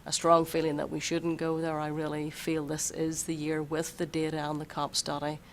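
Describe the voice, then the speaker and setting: A superintendent who is losing their voice a bit, explaining a budget at a school board meeting in a measured way and repeating words while thinking. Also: medium pitch, completely flat delivery, a little hiss or hum. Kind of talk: budget presentation at a public meeting.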